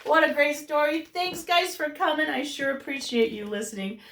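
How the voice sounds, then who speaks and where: A woman reading a storybook aloud to young children as a teacher, with animated delivery and chatty asides. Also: high-pitched, normal speed, a little hiss or hum. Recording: roomy echo, distant microphone.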